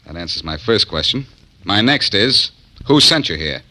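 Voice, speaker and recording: Cocky detective hero, American, lowish forceful voice, wisecracking, 1940s radio drama. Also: male voice, very animated, moderate pace, exaggerated voice. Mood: cool, taunting. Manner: wisecracking, self-assured. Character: cocky, quick-witted tough amateur detective hero. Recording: vintage 1940s radio recording.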